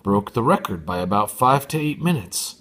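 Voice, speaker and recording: Storybook mom, read by a man: wry, teasing, half-serious, slightly raised pitch. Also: sing-song, moderate pace, a bit bright, slightly rough, full character voice. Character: teenage boy's teasing, half-amused mother.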